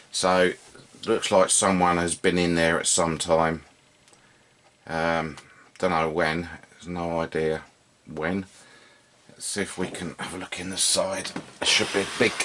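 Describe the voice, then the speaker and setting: A man's voice: sits low, a bit bright. A man giving a casual, unscripted hands-on demonstration, understated and hesitant as he thinks aloud. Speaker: host of a hobby radio repair video. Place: indoors at a workbench.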